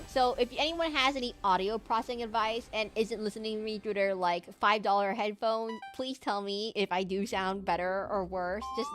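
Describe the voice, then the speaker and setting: A woman's voice: mid-range voice, a bit bright. A woman with a slightly nasal voice chatting casually and self-deprecatingly as she rambles through a live game stream. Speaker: streamer playing a video game. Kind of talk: casual live-stream chat while gaming. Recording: music playing behind the voice.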